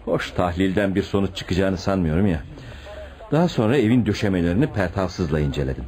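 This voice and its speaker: Earnest, low-voiced detective. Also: male voice, animated, a little fast, darkish tone. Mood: earnest, convinced. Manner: deferential but insistent. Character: earnest, stubborn male police detective, a subordinate. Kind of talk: radio theatre acting.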